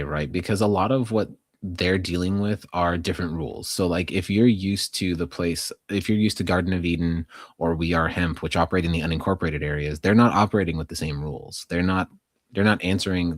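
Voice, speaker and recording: A man's voice: sits low, no echo, clean studio sound. An American man co-hosting a podcast, talking through local news in a casual, conversational, hedging way with plenty of "like". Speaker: podcast co-host.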